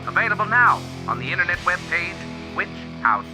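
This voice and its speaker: A man delivers a scripted promo. His voice is high for a man and very animated in pitch, set over prominent guitar music. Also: moderate pace. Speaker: sponsor announcer. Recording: loud rock music behind voice.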